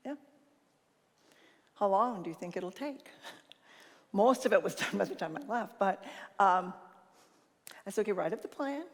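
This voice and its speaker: An older woman with a naturally loud voice gives an informal conference talk, telling anecdotes and acting out bits of conversation. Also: medium pitch, animated, measured pace, pauses often. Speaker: conference speaker and leadership consultant. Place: large reverberant conference hall. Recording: reverberant hall sound.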